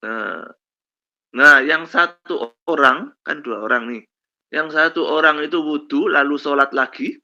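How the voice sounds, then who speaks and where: A male lecturer with a slight cold, telling stories slowly with many fillers in a lesson on Islamic law. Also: a little high, vocal fry, sharp tone. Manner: slow storytelling with many fillers and "kan begitu ya". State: has a cold, coughs. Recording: dull lo-fi recording.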